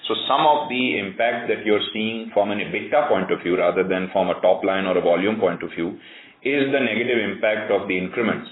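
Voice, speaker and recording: A male company executive answering questions on an earnings call in a measured, corporate way. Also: on the low side, slight background noise. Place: conference call over phone. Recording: narrowband echoey conference phone line.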